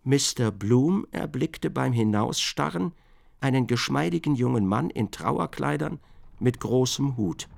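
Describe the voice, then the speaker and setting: A man's voice: mid-range voice, lively intonation, a little slow, creaky. A man narrating a literary radio drama from a script.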